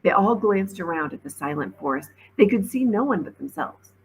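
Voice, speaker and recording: A woman reading a children's novel aloud as an expressive storybook narrator, like a teacher reading to a class. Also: medium pitch, moderate pace, a little hiss or hum, poor audio.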